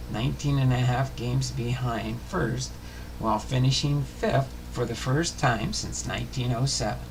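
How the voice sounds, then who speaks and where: Gravelly man reading aloud haltingly. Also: medium pitch, noisy background. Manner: halting, stumbles over words and rereads. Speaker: host of a baseball history series. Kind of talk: reading a baseball biography aloud.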